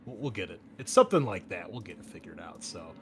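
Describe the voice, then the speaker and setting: A man's voice: sits low, animated, fast. A man hosting a tabletop game as game master, chatting casually as he introduces the session. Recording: clear background noise.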